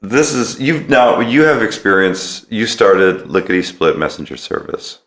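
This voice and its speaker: A man's voice: fairly low pitch, big pitch swings, a little slow, fairly bright tone. A man hosting a podcast, interviewing his guest in a casual, conversational way. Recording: roomy, echoey sound.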